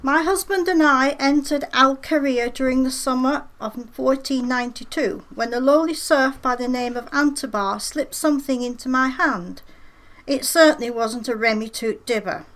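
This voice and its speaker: A woman's voice: high voice, moderate pace, a bit bright. A woman playing a haughty queen of old Spain, pompous and regal, in a comic radio play.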